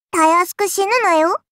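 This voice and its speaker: A woman's voice: very high-pitched, very noisy, heavily acted. A woman voicing an imperious, playful childlike serpent-god oni girl in a game, with archaic, haughty, teasing drawl. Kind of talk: game character voice lines.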